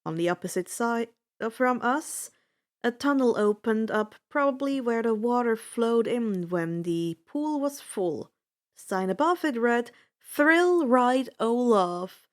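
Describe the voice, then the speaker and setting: A woman reading a story aloud in an expressive first-person narration. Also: on the high side, measured pace. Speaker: fan fiction narrator.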